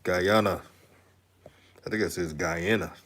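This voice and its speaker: A man's voice: sits low, unhurried, a bit bright, slight background noise. Chatty Texan man reading the chat. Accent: slight Texan. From Houston, Texas. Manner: loose, chatty, laughs often. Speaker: live-stream host. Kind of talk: casual live-stream talk, reading the chat.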